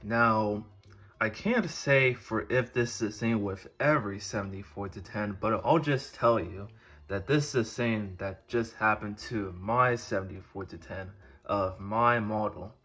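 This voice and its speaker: A man giving a casual show-and-tell narration as a hobby video host, with a husky voice and a halting, rambling manner. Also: on the low side, fairly bright tone.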